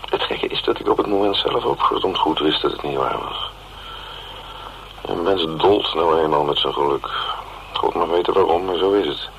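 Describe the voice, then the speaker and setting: A man's voice: rumbling low, vocal fry, a bit bright, exaggerated voice. An actor with a Netherlands Dutch accent playing a wry, cynical working man in a radio drama, musing ruefully in a sardonic, rambling way. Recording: dull, hissy old radio recording.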